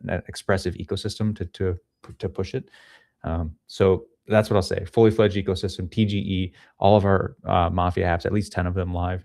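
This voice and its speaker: A man's voice: low voice, vocal fry, a little mellow, no room sound, clean studio sound. A man in his thirties talking casually as an interview guest, explaining things into a podcast microphone in a small room. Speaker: guest, head of ecosystem at a crypto project. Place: small home room with studio gear, indoors. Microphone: podcast microphone on a boom arm.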